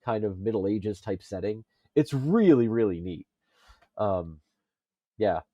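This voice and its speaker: A man on a casual pop culture podcast, talking in a halting way as he thinks aloud. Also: lowish voice, animated, warm tone, no echo. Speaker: podcast panelist.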